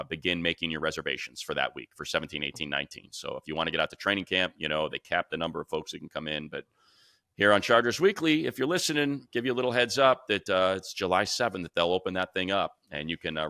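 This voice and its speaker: Deep, bright-voiced male sports announcer. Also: fairly quick, dry recording, clean studio sound. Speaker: sports radio announcer and co-host. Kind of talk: casual sports podcast chat.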